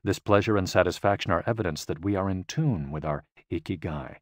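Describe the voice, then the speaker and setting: A man reading a scripted audiobook in a measured, clearly phrased, explanatory manner. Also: deep voice, no echo, studio quality. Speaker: audiobook narrator.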